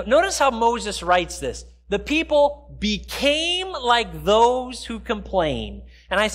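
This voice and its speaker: A man's voice: high voice, fairly bright tone. A middle-aged American man giving a sermon as a pastor, conversational and explanatory, often stepping aside to address his listeners. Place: large church sanctuary, speaking from the stage.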